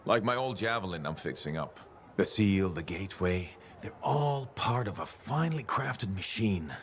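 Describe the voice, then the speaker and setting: An actor playing a cocky, gruff mechanic freelancer in a video game, boastful, folksy and plain-spoken. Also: male voice, on the low side, lively intonation, normal speed, slight background noise. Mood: musing, wry. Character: cocky, gruff mechanic freelancer philosophizing about the world as machine. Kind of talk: video game dialogue acting.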